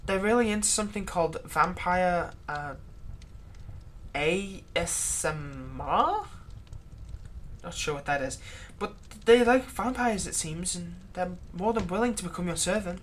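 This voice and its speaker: Woman acting an aggrieved vampire's thrall, low-pitched, noisy recording. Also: animated. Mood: persuading. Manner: conversational, halting, sardonic, emphatic when aggrieved. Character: long-serving human thrall, exasperated, pleading, turning menacing toward vampire master. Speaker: roleplay audio performer. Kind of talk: dramatic ASMR roleplay monologue.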